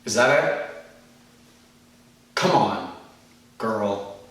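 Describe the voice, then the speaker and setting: A man acting a bitter, wounded, self-righteous church leader in a volatile, confrontational dramatic monologue, repeating phrases between long pauses. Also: fairly low pitch, a bit bright. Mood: bitter, controlled. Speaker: amateur actor performing a monologue. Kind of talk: dramatic film monologue performance. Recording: echoey room, far from the mic.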